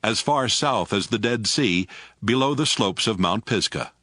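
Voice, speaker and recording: A man's voice: on the low side, expressive, measured pace, bright, forceful tone, dry recording. A man reading scripted narration as the narrator of a dramatized audio Bible.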